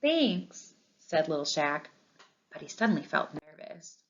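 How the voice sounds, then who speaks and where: A woman reading a children's storybook aloud in an expressive narrating style, on a dull lo-fi recording. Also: sits low, moderate pace. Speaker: storybook reader.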